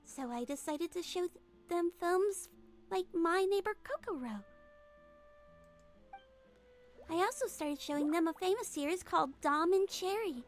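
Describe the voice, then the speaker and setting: Tiny sweet girly nurse for a game dub, really high and polite, soft music behind. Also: lively intonation, normal speed. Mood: chatty, pleased. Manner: polite, gentle, earnest. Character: small gentle girlish head nurse, sweet and earnest. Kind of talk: video game character fan dub.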